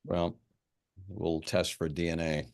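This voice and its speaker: Male detective, read by an actor: very low creaky voice, blunt, suspicious, halting delivery, indie film drama. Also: level tone, darkish tone, exaggerated voice. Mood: probing. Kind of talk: horror film script table read.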